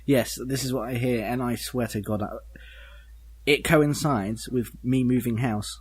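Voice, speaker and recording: A man's voice: medium pitch, steady tone, measured pace, husky. A man co-hosting a podcast, chatting informally in a dry, joking way with the odd mild swear. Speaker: podcast co-host. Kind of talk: casual chat and informal news reading.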